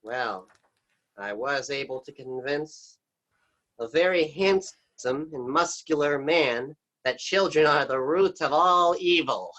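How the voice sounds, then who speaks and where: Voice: mid-range voice, slow, a bit bright, poor audio. An actor playing a scheming big bad wolf who gloats and chuckles in a community theatre show.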